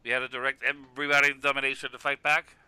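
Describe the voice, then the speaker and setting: A man's voice: medium pitch, little pitch movement, very fast, pushed and bright, clear, resonant tone, no echo. A man acting a heartfelt radio co-commentator in a web cartoon, speaking in an earnest, emphatic, speechifying way. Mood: passionate. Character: loud heartfelt radio co-commentator consoling his rival. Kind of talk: animated web cartoon dialogue.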